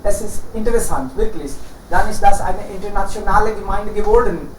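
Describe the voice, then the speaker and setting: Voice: mid-range voice, a little fast. This is the host of a German-learners' chat group on a video call. The delivery is formal and encouraging, with occasional restarts. The sound is roomy and distant, with faint background hiss. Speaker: host of an online German conversation club. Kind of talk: moderating a language-learner chat. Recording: echoey, distant call audio with faint noise.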